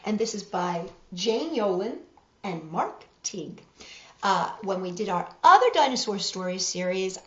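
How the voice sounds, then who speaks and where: A woman's voice: medium pitch, fairly bright tone, reverberant, highs cut off. A woman hosting a children's storytime, reading aloud and chatting directly to young listeners.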